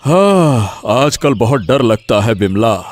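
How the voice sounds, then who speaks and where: A man's voice: medium pitch, sing-song, slow, soft background music. A man playing a principled old village father in a story, speaking earnestly and emphatically. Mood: worried, hopeful. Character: worried, principled old village father, indignant when threatened. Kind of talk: Hindi audio story dramatic acting.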